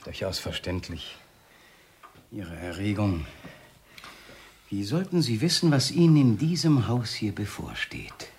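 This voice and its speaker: An actor playing a sinister, jealous doctor in a German radio play, speaking with menacing politeness that gives way to taunts and whispers. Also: male voice, medium pitch, big pitch swings, normal speed, vocal fry, few pauses, a little mellow, a little hiss or hum. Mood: menacing, taunting. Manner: silky mock courtesy breaking into outbursts and whispers.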